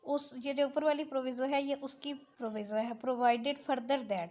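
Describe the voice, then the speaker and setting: A woman with a Pakistani accent giving an explanatory lesson as a law lecturer, switching between two languages and slowing down to read out clauses. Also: high voice, fairly even intonation, darkish tone. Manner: switches between Urdu-Hindi and English, slow when reading out clauses. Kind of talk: explanatory lesson, reading out and explaining legal text.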